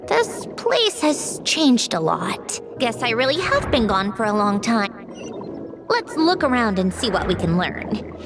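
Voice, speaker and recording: A woman voicing an aloof, guarded, businesslike young woman with a crisp, reserved delivery in a video game. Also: a little high, sing-song, measured pace, music in the background.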